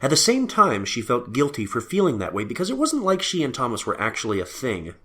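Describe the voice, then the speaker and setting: A man's voice: mid-range voice, huge pitch swings, fairly quick, bright, forceful tone, husky. A man reading scripted story narration.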